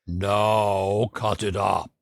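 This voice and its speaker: A man voicing a gruff, weary storybook lion with a ponderous drawl. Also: on the low side, level tone, very slow, no room sound, pitched below the performer's own voice, exaggerated voice. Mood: lazy, gruff.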